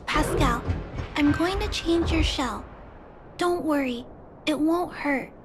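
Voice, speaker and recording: An earnest young girl speaks gently but with clear resolve. Her voice is very high. Game music plays audibly behind her. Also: slow.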